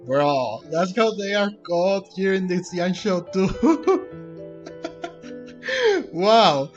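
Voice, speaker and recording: Male let's play host: unhurried, hesitant, casual, with animated pitch swings over game music. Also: highs cut off. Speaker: gaming video host.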